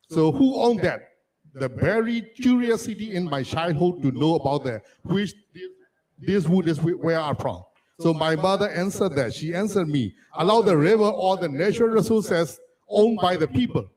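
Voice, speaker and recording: A man from Myanmar whose first language is Arakanese, telling a childhood story as a panelist in halting, restarting English. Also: fairly high pitch, poor audio. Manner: halting, restarts sentences, non-native phrasing. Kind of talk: personal story at a panel discussion.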